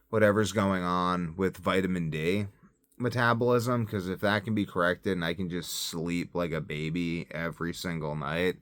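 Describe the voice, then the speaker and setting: A man's voice: sits low, a bit bright, no room sound, studio quality. A man talking casually in a rambling video diary, repeating phrases for emphasis, recorded on a phone set up nearby. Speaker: self-experiment health vlogger and parent. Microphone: phone set up close by.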